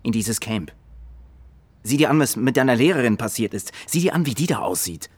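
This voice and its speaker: An actor playing a gruff, defensive young policeman and protective brother, with a husky voice, in a German radio crime drama. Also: mid-range voice, expressive, brisk. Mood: defensive, irritated. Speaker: police officer.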